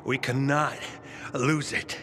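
A weakened man giving grave, urgent instructions in a video game. He speaks slowly and haltingly at a medium pitch, with clear noise around him. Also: little pitch movement, pushed and bright. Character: urgent, weakened man entrusting a vital key. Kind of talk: video game cutscene acting. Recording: clear ambient noise behind voice.

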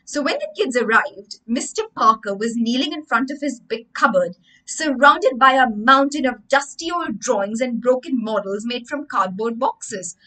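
Expressive Indian English woman storyteller. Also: fairly high pitch, normal speed, poor audio. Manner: expressive, animated hand gestures, explains words as she goes. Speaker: storytelling teacher. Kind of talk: reading a story aloud and explaining it to children. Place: room with bookshelves.

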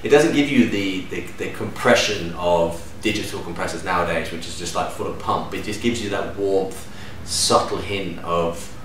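A man in his thirties from Denmark, a DJ and producer, answering interview questions to camera in a relaxed, enthusiastic way with lively hand gestures. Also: sits low, moderate pace, hardly any pauses. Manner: relaxed, enthusiastic, gestures with hands while talking. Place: living room at home, indoors. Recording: echoey room, distant mic, faint hum.

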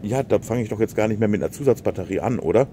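A man's voice: fairly low pitch, racing, mellow. A man in casual conversation at an outdoor fair stand, chatty and often tagging on "ne?". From Germany. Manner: chatty, keeps adding "ne?". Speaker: vlogger interviewing an exhibitor. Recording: outdoor recording with clear background noise.

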